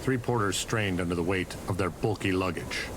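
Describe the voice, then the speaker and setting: A sober storyteller for a period detective game, read by a man. He delivers scripted narration in a deep, low voice. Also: moderate pace, background noise, close to an everyday voice.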